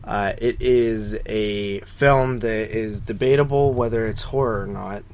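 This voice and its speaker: A man's voice: on the low side, fairly even intonation, measured pace, darkish tone, a little hiss or hum. Rambling, opinionated man in casual film chat. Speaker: podcast co-host reviewing horror films. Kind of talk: casual conversational film discussion.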